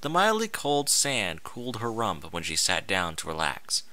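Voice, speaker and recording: A man's voice: fairly low pitch, huge pitch swings, moderate pace, bright, forceful tone, dry recording. A man narrating a scripted story in a theatrical, dramatic manner.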